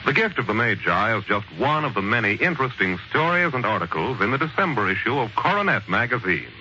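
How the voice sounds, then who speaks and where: A man narrating as a 1940s radio storyteller, in a dramatic, measured, scripted style. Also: mid-range voice, very animated, sharp tone, slight background noise. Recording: muffled 1940s radio recording. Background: prominent music.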